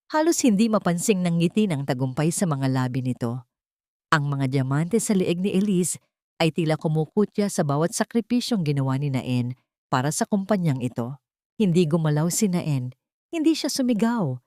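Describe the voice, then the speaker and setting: A woman's voice: fairly low pitch, darkish tone, dry recording, studio quality. A woman reading a scripted love story as a narrator, with a solemn, dramatic delivery.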